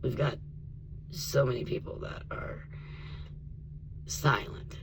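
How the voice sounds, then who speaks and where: A man from Tennessee, his voice rough and in need of rest, talking casually to his viewers and often dropping to an earnest whisper. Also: medium pitch, slow, background noise. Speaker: vlogger. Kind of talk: casual spiritual vlog and storytime.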